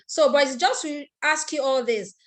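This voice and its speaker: A Nigerian woman with a somewhat high voice leads a live online tutorial over a computer mic. She talks through each step in turn, repeats key points and breaks off to ask attendees questions. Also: normal speed, fairly bright tone. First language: Igbo. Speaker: online class instructor. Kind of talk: live screen-share tutorial.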